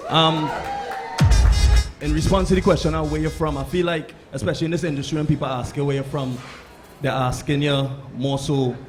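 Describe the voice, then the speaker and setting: Higher-pitched male panelist over music. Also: steady tone, a little fast. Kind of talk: casual panel talk.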